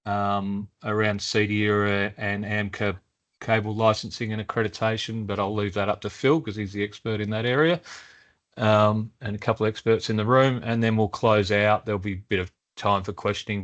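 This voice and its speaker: A man running a workshop meeting, relaxed and loose with his fillers. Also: fairly low pitch, moderate pace. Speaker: meeting facilitator. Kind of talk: workshop introductions. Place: meeting room.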